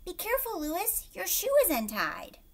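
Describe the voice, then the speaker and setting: A woman's voice: very high voice, huge pitch swings, normal speed, pitched above the performer's own voice, exaggerated voice. A woman voicing a good-natured, helpful schoolboy in a children's storybook, friendly and earnest.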